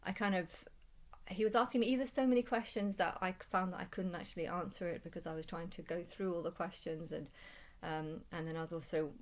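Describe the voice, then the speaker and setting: A woman with a low-pitched voice talks through a rambling personal vlog. She speaks haltingly, repeating and restarting her phrases as she recounts things in the first person. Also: fairly even intonation. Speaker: vlogger.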